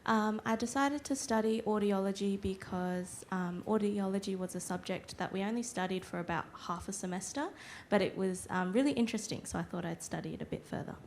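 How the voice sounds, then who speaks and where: A woman's voice: medium pitch, fairly quick, slight background noise. Straightforward woman. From Adelaide, Australia. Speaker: student.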